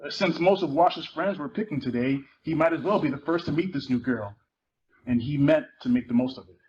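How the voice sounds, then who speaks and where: A man's voice: fairly high pitch, a little fast, darkish tone. A man giving a dramatic reading of a novel, narrating and acting out its dialogue. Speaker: theater performer reading a book excerpt aloud. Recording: muffled live recording with faint noise.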